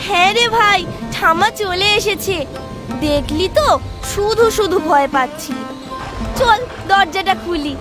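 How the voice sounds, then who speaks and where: Very high-pitched bossy little girl. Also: animated, measured pace, over music. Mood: relieved. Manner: childlike, scolding and soothing. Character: young elder sister, caring and bossy little girl. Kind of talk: expressive children's cartoon acting.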